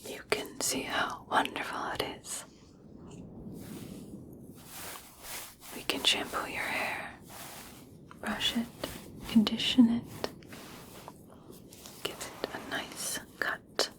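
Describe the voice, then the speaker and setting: Female performer as regal elf princess for fantasy ASMR: whispered, unhurried, gracious and gently coaxing. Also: on the high side, level tone, poor audio. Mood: soothing, inviting. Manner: soft, slow, soothing, formal. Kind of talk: whispered ASMR personal attention roleplay.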